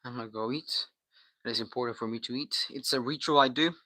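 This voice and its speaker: A man's voice: mid-range voice, brisk, sharp tone, no echo. A man from Guatemala, a native Spanish speaker, chatting casually on a livestream in a slangy, sweary, bro-heavy way.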